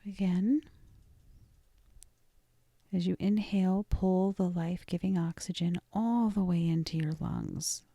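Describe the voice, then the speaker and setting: A woman narrates a guided meditation in a soft, lowish voice with a good deal of fry. She is gentle and deliberate, leaving pauses and easing off almost into a whisper. Her tone stays dark and relaxed throughout. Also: dry recording. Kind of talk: guided meditation, scripted and soothing.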